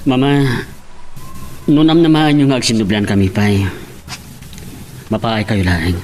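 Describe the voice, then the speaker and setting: A man's voice: on the low side, fairly even intonation, a little slow, darkish tone, close to an everyday voice. A man acting a troubled, lovesick young son in a romantic radio drama. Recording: noisy background behind the voice.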